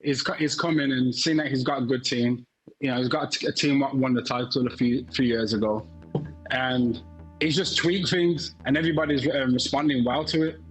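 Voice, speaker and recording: A man with a middle-pitched voice talks football on a studio panel as a former defender. He speaks haltingly, restarting sentences and repeating words as he searches for them. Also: steady tone, slightly rough, soft background music, low-quality recording. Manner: halting, many restarts and fillers.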